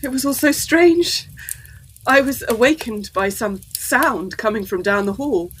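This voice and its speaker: An actress playing an anxious, refined young Victorian gentlewoman in a gothic audio drama, with dramatic delivery. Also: fairly high pitch, lively intonation, unhurried, a little hiss or hum, low-quality recording. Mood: shaken, distressed. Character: distraught, well-bred young Victorian gentlewoman, devoted daughter.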